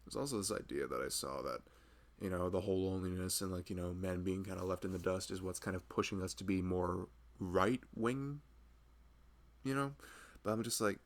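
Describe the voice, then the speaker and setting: Loving young husband acted by a man for intimate ASMR roleplay: very low, creaky voice, earnest, informal, rambling delivery. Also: fairly quick. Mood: reflective, vulnerable. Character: loving, chatty, earnest husband of a pregnant wife. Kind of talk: improvised ASMR roleplay, casual intimate chat.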